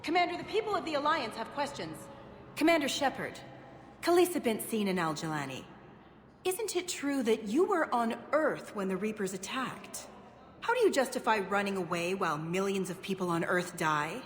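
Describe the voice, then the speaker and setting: A woman's voice: mid-range voice, big pitch swings, moderate pace, natural voice. Woman acting an accusatory reporter in echoing hall. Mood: accusing, indignant. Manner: accusatory, pointed questioning. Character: pushy, confrontational female news reporter in science fiction. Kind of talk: video game voice acting. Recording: echoey hall with long reverb, noisy.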